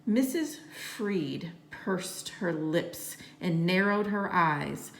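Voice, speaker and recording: A woman reading a children's novel aloud as a narrator, with expressive, deliberate phrasing. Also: fairly low pitch. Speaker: storybook reader.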